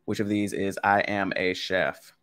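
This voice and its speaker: A low-pitched young man running a live-streamed language quiz from his home setup. He speaks in a loose, chatty way, addressing the chat directly, with a somewhat deep voice. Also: level tone, measured pace, fairly bright tone, smooth. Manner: casual, chatty, talks to chat with y'all. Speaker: Spanish teacher. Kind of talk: live-streamed game lesson.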